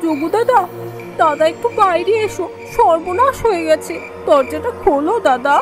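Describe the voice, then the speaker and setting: A woman voicing an anxious, pleading village wife in an animated horror story, with an alarmed, plaintive manner. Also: extremely high pitch, moderate pace, over music. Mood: urgent, pleading.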